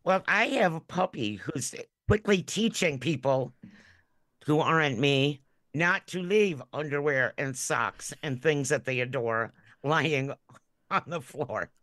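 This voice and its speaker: A woman co-hosting a podcast, chatting casually through jokey anecdotes and acting out little quotes in an animated way. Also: deep voice, slow, no room sound. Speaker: podcast co-host.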